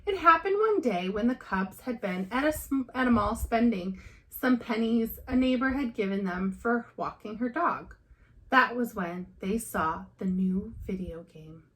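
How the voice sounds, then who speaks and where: A woman's voice: on the high side, lively intonation, measured pace, low-quality recording. A woman reading a children's storybook aloud as a teacher would, in narration.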